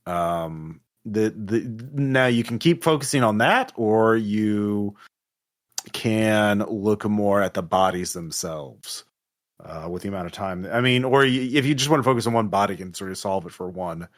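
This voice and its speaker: A man running a tabletop horror game, narrating off the cuff and haltingly as he thinks aloud. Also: on the low side. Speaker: game master.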